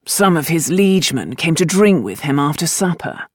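Languid idle nobleman, voiced by a female narrator, storybook, wry and unhurried, close dry recording. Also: deep voice, big pitch swings, no echo. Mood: droll. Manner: droll, unhurried, faintly amused. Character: dry, languid, teasing aristocratic man, penniless idler.

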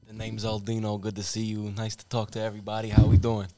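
A man's voice: fairly low pitch, completely flat delivery, fast talker, warm tone, slight background noise, pitched below the performer's own voice, natural voice. Man doing blustering Italian-American family guy Aldino. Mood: cocky. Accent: put-on Italian-American. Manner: blustering, swearing, scolding. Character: gruff domineering Italian-American father and cousin named Aldino.

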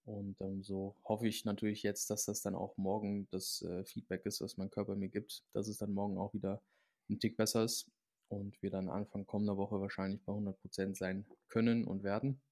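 Male athlete guest, lowish flat voice, relaxed and rambling. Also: a little fast. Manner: rambling, relaxed, frequent fillers. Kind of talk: casual podcast chat.

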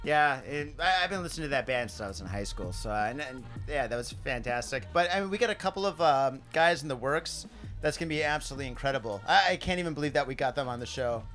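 A man's voice: mid-range voice, animated, quick pace, fairly bright tone, faint music behind. A man from the Detroit area, a guest on a casual podcast, who swears freely and keeps saying "dude". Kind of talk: casual interview chat.

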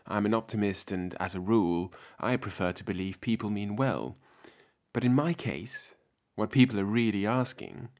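A man from France, raised speaking French and German, with a French-German accent, delivering a measured, reflective scripted talk as a researcher. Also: fairly low pitch. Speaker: PhD researcher giving a talk. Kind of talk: scripted spoken essay.

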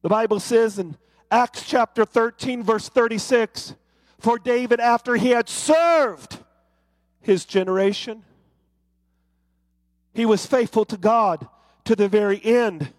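A male preacher with a high, bright-edged voice, working through his sermon with rising, rhythmic refrains. The sound is dry and close, with no room around it. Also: takes it slowly, long pauses, dry recording.

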